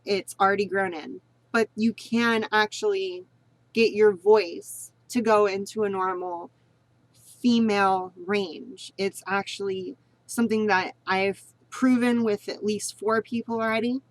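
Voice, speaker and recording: A woman's voice: medium pitch, steady tone, unhurried, low-quality recording. A woman giving a casual spoken tutorial on voice training, rambling and repeating herself, earnest and encouraging. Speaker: vlogger teaching voice training.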